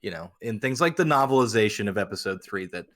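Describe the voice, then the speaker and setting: A man chatting casually as a podcast co-host, halting and repeating words as he goes. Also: lowish voice, expressive, a bit bright.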